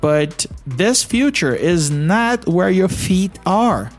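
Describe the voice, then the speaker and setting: A man's voice: sits high, sing-song, slow pace, faint music behind. Brazilian-accented man teaching English. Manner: encouraging, asks listeners questions, frequent "you know" and "okay?". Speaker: English teacher and podcast host. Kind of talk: conversational teaching podcast.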